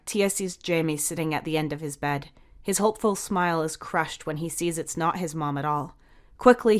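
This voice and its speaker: Narrator: woman, slightly low voice, reading scripted fiction. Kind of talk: scripted audio story narration.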